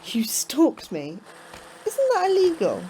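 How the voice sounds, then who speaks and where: An actress playing an anxious, questioning astrobiologist with a nervous, hesitant delivery in a sci-fi horror audio drama. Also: female voice, high-pitched, huge pitch swings, slow, dark tone, slight background noise, heavily acted, low-quality recording. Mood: questioning, uneasy.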